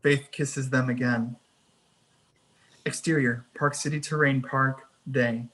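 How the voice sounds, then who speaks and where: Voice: medium pitch, steady tone, takes it slowly, fairly bright tone, poor audio. A narrator reading scene headings and action lines at a screenplay table read. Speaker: narrator reading stage directions.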